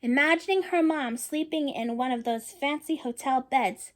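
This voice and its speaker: A woman with a high, light voice reads a children's storybook aloud. She pauses to think aloud and to put questions to her young listeners. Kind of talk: picture book read-aloud with asides to listeners.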